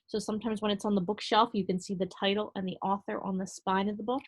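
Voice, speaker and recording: A woman preschool teacher reading to young children in an animated way, with simple explanations and questions spoken directly to them. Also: medium pitch, fast talker. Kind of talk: read-aloud to young children with asides and questions.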